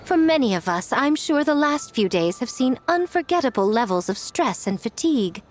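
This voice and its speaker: A poised young female master of ceremonies in an anime game. She speaks formally and graciously in a fairly high, animated voice. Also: normal speed. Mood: gracious, upbeat. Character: poised young woman, gracious festival host. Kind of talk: formal announcement in video game.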